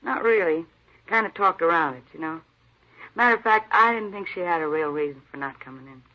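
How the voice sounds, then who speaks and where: An actress playing an opinionated, slightly defensive store employee in a 1950s radio drama, talking in an emphatic, colloquial way. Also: female voice, fairly low pitch, a little fast, natural voice. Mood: suspicious, insistent. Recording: dull old-time radio recording.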